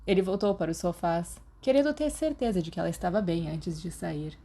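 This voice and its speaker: Mellow-voiced Brazilian Portuguese woman narrator. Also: on the low side, a little fast, breathy edge. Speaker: podfic reader. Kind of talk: scripted fiction narration.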